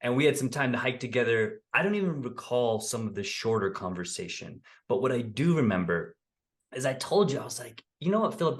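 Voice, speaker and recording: A man in his thirties hosting a podcast interview over a video call, affirming and enthusiastic, speaking into a desk mic in his home office. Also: mid-range voice. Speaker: podcast host. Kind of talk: warm interview conversation. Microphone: desk condenser mic, video call.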